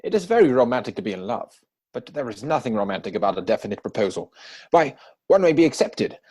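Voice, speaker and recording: A man acting a witty, idle young gentleman from a period comedy. His voice is slightly husky and swings widely in pitch, with arch, playful self-satisfaction in a theatrical reading. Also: very animated, quick pace. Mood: witty, pompous. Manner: mannered, arch, theatrical delivery.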